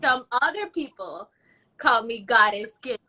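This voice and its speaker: A young woman from Puerto Rico answers interview questions in a high, bright voice. She talks bluntly and with total self-assurance, never hesitating over what she means. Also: animated, unhurried, rough recording. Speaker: guest. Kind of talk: casual interview.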